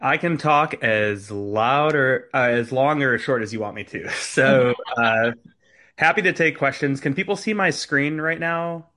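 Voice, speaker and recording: A young man speaks as a guest lecturer over a video call. He is easygoing and conversational, with plenty of ums as he explains. His voice sits at a middle pitch. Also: a little slow. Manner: relaxed, conversational, many ums.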